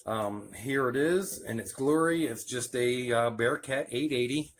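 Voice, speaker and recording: Clear background noise sits around an American man with a mid-pitched voice. He narrates casually in a show-and-tell style, like a hobbyist hosting his own video. The recording sounds amateur and unpolished. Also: measured pace.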